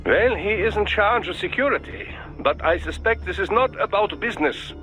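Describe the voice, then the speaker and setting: Game doctor: high-pitched, pedantic, courteous, deferential, faint music underneath. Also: male voice, lively intonation, bright, forceful tone. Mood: musing, precise. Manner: halting, formal, deferential, pedantic. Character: polite, hesitant male cyborg doctor, scholarly and fascinated. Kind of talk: video game cutscene acting.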